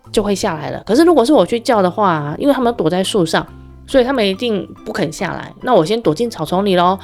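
A woman's voice: medium pitch, darkish tone, close to an everyday voice. A woman voicing a sly, scheming male fox in a children's story.

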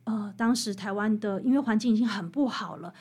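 A woman's voice: mid-range voice, fairly quick, a little mellow, husky. A woman with a Taiwanese Mandarin accent, an author interviewed as a guest, explaining earnestly and conversationally with repeated, parallel phrases.

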